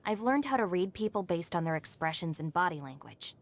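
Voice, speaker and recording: A woman's voice: lowish voice, lively intonation, fairly quick. An actress playing a level-headed, self-reliant young woman in a video game, speaking in a composed, dry, matter-of-fact way. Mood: dry, unruffled. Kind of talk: scripted video game character voice lines. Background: background music.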